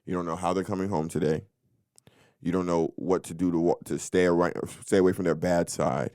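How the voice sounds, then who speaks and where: A young man with a very low voice tells stories on a casual podcast. He talks loosely and brings the scene alive by acting out what people said. The sound is close and dry. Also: brisk, no room sound. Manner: animated storyteller, acts out quotes. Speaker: podcast co-host. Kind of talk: casual storytelling chat.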